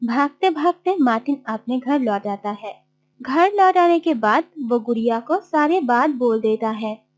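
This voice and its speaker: A woman's voice: a little high, expressive, unhurried. A woman narrating a scripted children's story.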